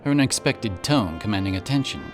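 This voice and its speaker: Male fantasy narrator. Deep register, scripted storytelling delivery, clear music bed underneath. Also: expressive, a little fast.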